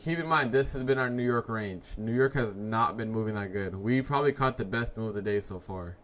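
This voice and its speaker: A man in his early twenties hosting a live trading stream, chatting casually with viewers in a laid-back, slangy way and letting his sentences trail off quietly. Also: fairly low pitch, fairly quick. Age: 20s. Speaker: livestream trading host. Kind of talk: casual live-stream chat reading comments.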